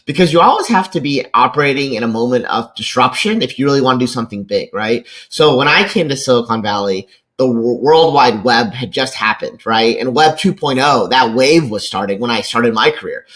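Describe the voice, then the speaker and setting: An American man in his forties speaks as a guest in a conversational interview. His pitch sits in an ordinary middle range for a man, and his manner is enthusiastic, often tagging points with a quick check for agreement. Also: expressive, a bit bright. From rural Kentucky, United States. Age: 40s. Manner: enthusiastic, peppers points with "right?". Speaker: podcast guest, venture capitalist and lecturer.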